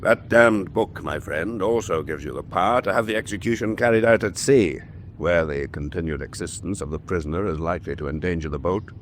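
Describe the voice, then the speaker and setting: An actor playing a cool, probing submarine officer in a wartime radio drama, with a deliberate, pointed delivery. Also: male voice, deep voice, a little hiss or hum, natural voice. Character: cool, probing senior U-boat officer, captain's frank confidant.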